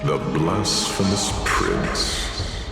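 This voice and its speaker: A male actor playing an ancient war god in a video game, grave and menacing, making slow, solemn, archaic pronouncements. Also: very deep voice, animated, gravelly, heavily acted. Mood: ominous. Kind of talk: dramatic video game voice acting. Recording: long cavernous reverb, loud game music.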